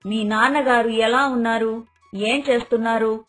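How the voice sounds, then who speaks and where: Proud, disapproving mother-in-law played by a woman, high voice, cartoon moral tale, music underneath. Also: fairly even intonation, normal speed, natural voice. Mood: questioning. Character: stern, proud middle-class mother-in-law, disapproving and suspicious. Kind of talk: cartoon moral story voice acting. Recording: dialogue over background music.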